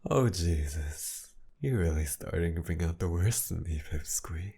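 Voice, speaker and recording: Man acting a gravelly, menacing, flirtatious villain. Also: animated, warm tone, exaggerated voice. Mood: flirtatious taunting. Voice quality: low, gravelly, breathy. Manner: teasing, taunting, slow drawl with breathy whispers and sighs. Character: sadistic flirtatious male villain, menacing and possessive. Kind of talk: intimate ASMR roleplay acting.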